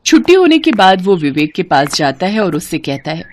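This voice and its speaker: Lively woman narrating a moral story. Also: on the low side, normal speed.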